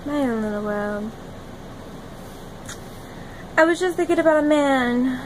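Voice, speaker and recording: A young woman talking to her phone camera in a small room, rambling dreamily and giggling, jumping between loud bursts and soft murmurs. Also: sits high, expressive, measured pace, slight background noise, rough recording. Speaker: vlogger. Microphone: phone camera at arm's length.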